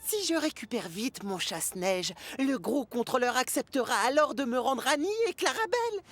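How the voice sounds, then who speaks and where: A woman's voice: high-pitched, big pitch swings, normal speed, fairly bright tone, dry recording, faint music behind, exaggerated voice. A woman voicing a stubborn, impatient young male steam engine in a French-dubbed children's cartoon, with a childlike, exclamatory delivery. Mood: hopeful.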